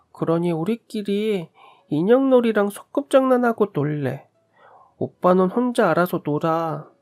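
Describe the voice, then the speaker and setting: A man's voice: sits high, a little mellow, pitched above the performer's own voice. A man voicing whining little children in a read-aloud story. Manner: whining, pleading. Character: little boy and little sisters, whiny children.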